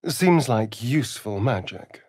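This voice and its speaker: A vain, sardonic vampire rogue from a fantasy video game. His voice is breathy and swoops widely in pitch. He drawls his words slowly, with arch, theatrical flair. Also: expressive, a little mellow, no room sound, clean studio sound. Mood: arch, teasing.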